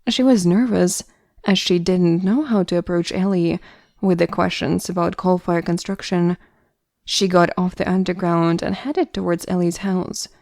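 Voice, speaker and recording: A woman's voice: lowish voice, steady tone, normal speed, creaky, darkish tone, dry recording, studio quality. A woman narrator reading a scripted story for an audio drama.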